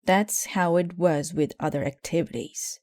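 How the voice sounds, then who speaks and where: Female storybook narrator, mellow tone, slow and deliberate read, dry sound. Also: on the low side, steady tone, no room sound. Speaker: storybook reader. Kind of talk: scripted children's story narration, read aloud.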